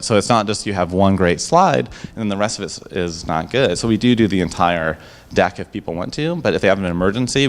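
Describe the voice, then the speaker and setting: A man pitching his startup to a live audience and then answering their questions on a handheld mic. He talks with upbeat enthusiasm and often restarts his sentences. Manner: enthusiastic, upbeat salesman patter with frequent restarts. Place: event room before a live audience. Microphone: handheld microphone.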